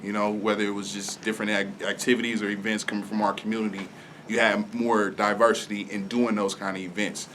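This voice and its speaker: A man with a low voice presents a plan at a city hall meeting. His delivery is loose and conversational, full of uhs and ums. Faint room noise runs underneath. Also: level tone, brisk, fairly bright tone. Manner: conversational, with frequent uh and um fillers. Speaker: community organizer presenting a proposal.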